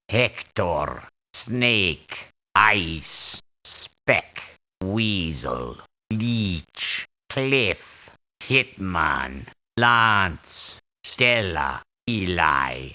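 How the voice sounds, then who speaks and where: Deep, forceful, proud male mercenary. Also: exaggerated voice. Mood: terse. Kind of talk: video game character voice acting.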